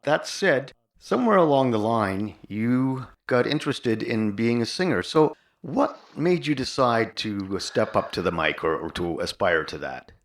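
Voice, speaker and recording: A man's voice: mid-range voice, lively intonation, measured pace, hardly any pauses. An older man hosting a conversational interview. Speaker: podcast host interviewer.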